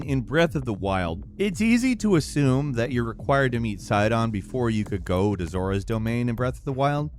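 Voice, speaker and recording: Animated man presenting video game commentary. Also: mid-range voice. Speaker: video presenter narrating a countdown of video game moments. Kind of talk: scripted casual video commentary.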